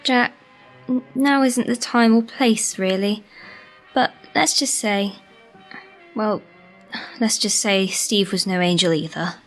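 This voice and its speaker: A woman's voice: medium pitch, slow, pauses often, soft background music, natural voice. A woman acting in an amateur radio play as a kind, stoical care worker with a reassuring, familiar manner.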